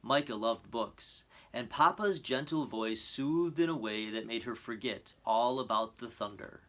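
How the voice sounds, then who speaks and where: A man presenting and reading a children's storybook aloud in an earnest, expressive, measured way. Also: mid-range voice. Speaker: storybook reader.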